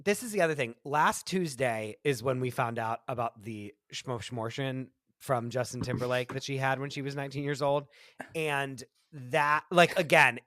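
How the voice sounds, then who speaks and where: A man co-hosting a comedy podcast in a dry, close-miked sound. He talks in an animated, theatrical way, full of fillers and quick asides, at a mid pitch. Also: no echo. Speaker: podcast co-host. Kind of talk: casual comedic chat.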